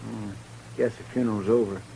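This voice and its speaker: A drawling loyal deputy, gravelly, lowish, old-time radio western. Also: male voice, steady tone, fairly quick, warm tone, a little hiss or hum, poor audio. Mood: easygoing. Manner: folksy drawl, deferential. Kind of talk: 1950s radio drama acting.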